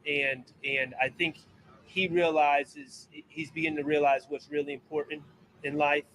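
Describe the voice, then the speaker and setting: A man giving an earnest statement in a measured, hesitant way. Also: a little high, flat delivery, fairly bright tone, poor audio. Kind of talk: statement in a played clip.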